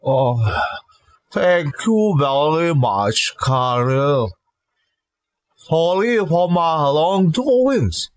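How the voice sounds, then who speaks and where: Guy playing a sick grumpy old boss, very slow halting talk, highish for a man. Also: very animated, no room sound, heavily acted. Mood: humbled, grateful. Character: ailing, bad-tempered elderly male employer softening into gratitude. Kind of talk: scripted drama acting.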